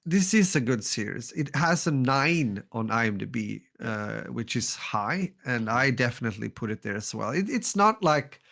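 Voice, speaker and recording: A man's voice: mid-range voice, sing-song, moderate pace, creaky, few pauses, fairly bright tone. A man co-hosting a casual podcast, easygoing and hesitant. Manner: hesitant, many "uh" fillers and restarts, easygoing. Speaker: podcast co-host.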